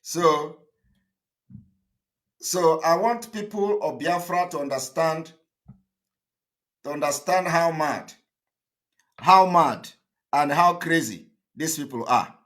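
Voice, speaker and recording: A Nigerian man speaks as a political activist broadcasting to his followers. His voice sits a little high, and he is emphatic and defiant, hammering points home by repeating them. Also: slow, pauses often, a bit bright. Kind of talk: impassioned political monologue to camera.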